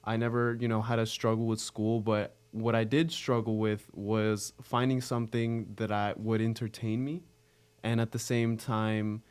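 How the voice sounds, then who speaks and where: Late-teens male, American accent, very clear smooth tone, casual interview guest, earnest and slightly halting. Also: on the low side, level tone, darkish tone. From Arizona, United States. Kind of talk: casual chat.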